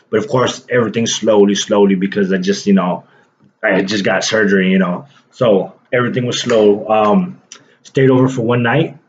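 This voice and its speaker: A man's voice: fairly low pitch, lo-fi sound. A man in his late twenties telling a personal story to his viewers in a loose, rambling way, full of fillers and restarts. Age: 20s. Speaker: vlogger. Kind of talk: casual personal story to camera.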